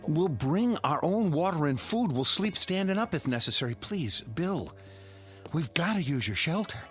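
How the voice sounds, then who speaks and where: A man's voice: on the high side, big pitch swings, normal speed, a bit bright, soft background music. An actor playing a desperate suburban father in a radio drama, pleading and stammering.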